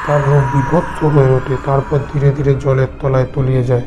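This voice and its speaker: A man's voice: mid-range voice, flat delivery, fairly quick, loud music behind the voice. A man narrating a scripted horror story as the narrator.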